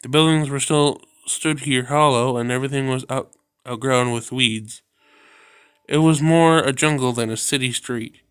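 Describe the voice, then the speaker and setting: Man calmly reading a visual novel. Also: mid-range voice, moderate pace, clear, resonant tone, no room sound, studio quality. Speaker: video game narrator.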